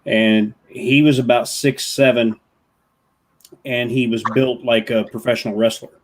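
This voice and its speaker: Man telling drawn-out stories in casual chat. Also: mid-range voice, flat delivery. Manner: storytelling, drawn-out anecdotes with restarts.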